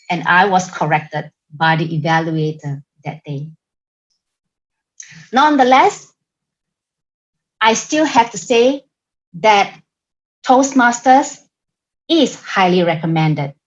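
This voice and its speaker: A woman with a Singaporean English accent delivering a prepared club speech over a video call, in a deliberate, emphatic manner. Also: lowish voice, highs cut off. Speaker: club member giving a speech.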